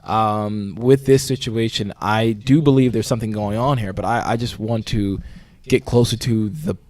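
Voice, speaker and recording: A man's voice: lowish voice, steady tone, moderate pace, darkish tone, no echo, natural voice. An actor playing an earnest, rambling male podcast host who hesitates and placates his guests in a mock interview. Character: earnest, apologetic male investigative podcast host, skeptical of spirits.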